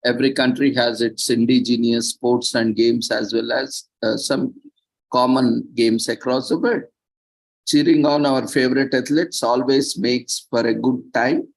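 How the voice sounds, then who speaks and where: A man presenting, reading prepared text with off-the-cuff asides, halting and stumbling over words at times. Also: medium pitch, steady tone, vocal fry, rough recording.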